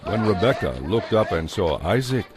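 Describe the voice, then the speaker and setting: Low-voiced man narrating scripture. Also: lively intonation, normal speed, a crowd in the background. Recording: music behind the voice.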